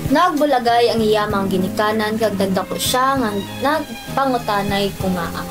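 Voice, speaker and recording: A woman narrating a student radio drama in a measured storytelling voice. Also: medium pitch. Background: music.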